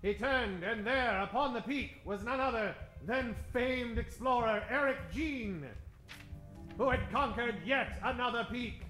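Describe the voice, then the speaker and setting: Bright, high-voiced radio adventure narrator, a man. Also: measured pace. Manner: dramatic, suspenseful, theatrical. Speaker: narrator and announcer. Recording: roomy stage sound, faint music behind.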